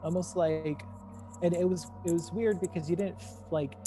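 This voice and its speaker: A game master improvising tabletop narration in a halting, conversational way, speaking to the players directly. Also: medium pitch, steady tone. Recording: music playing behind the voice. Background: music.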